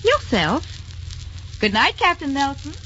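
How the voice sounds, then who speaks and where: A dull old radio recording of a refined society lady. Her delivery swings expressively in pitch, gracious and poised with a wistful streak, as in period drama. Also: big pitch swings, unhurried, bright, forceful tone, slight background noise, heavily acted. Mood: poised, intense. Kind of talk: 1940s radio drama acting.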